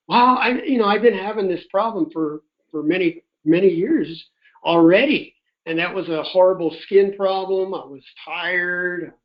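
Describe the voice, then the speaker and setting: A man, middle-aged or older, telling a personal story in a folksy, chatty way, acting out remembered dialogue on a conference call. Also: high-pitched. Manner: animated retelling with quoted dialogue. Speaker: host telling his personal health testimony. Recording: dull, muffled conference-call audio.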